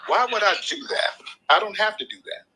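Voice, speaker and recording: A man's voice: high-pitched, a little fast, sharp tone. A man hosting a live call-in show, lecturing and arguing combatively, swearing freely and talking over the caller. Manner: combative, lecturing, repeats points. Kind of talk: heated live call-in argument.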